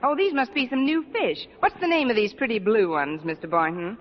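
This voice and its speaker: An actress playing a witty high-school teacher with dry, sardonic delivery in a vintage radio sitcom. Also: female voice, lively intonation, fairly quick, close to an everyday voice. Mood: playful, wry. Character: witty, wisecracking adult female high-school English teacher. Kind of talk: 1940s-50s radio sitcom acting. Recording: noisy muffled vintage radio, studio audience laughter.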